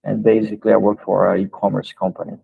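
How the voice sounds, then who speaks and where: A man's voice: lowish voice, flat delivery, quick pace, vocal fry, husky, phone-quality sound. A man in his early thirties with a Brazilian accent, answering questions as a guest in a casual interview. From São Paulo state, Brazil. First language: Portuguese. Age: early 30s.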